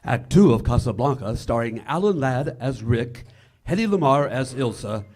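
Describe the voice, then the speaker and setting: A man's voice: mid-range voice, lively intonation, normal speed, darkish tone. A man narrating as the host of a 1940s style radio drama. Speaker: announcer and narrator. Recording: live stage show with clear music.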